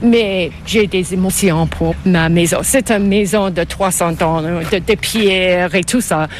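An older woman from Canada, a native English speaker with an English Canadian accent, answering interview questions in halting second-language speech. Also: lowish voice, slight background noise. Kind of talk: casual conversational interview.

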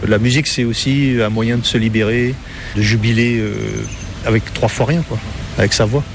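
A man's voice: medium pitch, steady tone, moderate pace, background noise. A middle-aged man with a Parisian French accent, chatting informally as an interview guest and telling casual anecdotes. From Paris, France. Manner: informal, often ends phrases with "quoi".

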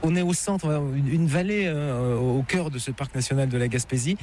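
A man hosting a travel radio program, narrating the route and interviewing a guest in a lively, playful way. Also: mid-range voice, normal speed, slight background noise. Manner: lively, playful, guiding the conversation. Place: outdoors in a national park.

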